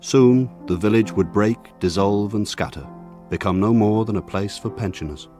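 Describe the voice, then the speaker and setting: An actor playing an older man recalling his village childhood in measured, reflective, lyrical radio drama narration. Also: fairly low pitch, warm tone, faint music behind, lightly acted. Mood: wistful, elegiac.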